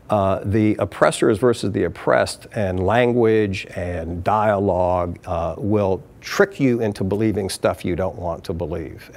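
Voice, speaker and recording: A man's voice: on the low side, vocal fry. A man in his fifties, a brewery chief executive, telling stories and answering questions in a sit-down interview in a taproom, gesturing as he talks. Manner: animated storytelling, hand gestures.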